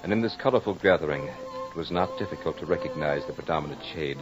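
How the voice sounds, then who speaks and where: An actor playing a brooding aristocratic courtier in an old radio drama, telling his tale in a measured, florid, dramatic way. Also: very deep voice, loud music behind the voice. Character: brooding aristocratic French courtier recounting a fatal duel. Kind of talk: 1940s radio drama monologue. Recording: muffled old radio recording.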